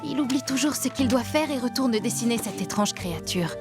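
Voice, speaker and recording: Woman as a fretful, higher-voiced innkeeper mother. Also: expressive, fairly quick, lightly acted. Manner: gently scolding, apologetic. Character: welcoming but fretful mother running a village inn. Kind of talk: French animated series dubbing. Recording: music behind the voice.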